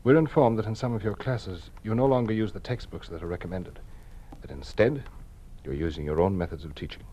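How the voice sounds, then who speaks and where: An actor playing an officious party official in an old-time radio drama, with condescending, bureaucratic politeness. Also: male voice, lowish voice, brisk, dark tone, slight background noise, lightly acted. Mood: formal. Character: middle-aged male party official, officious, falsely reasonable interrogator. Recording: vintage radio drama recording.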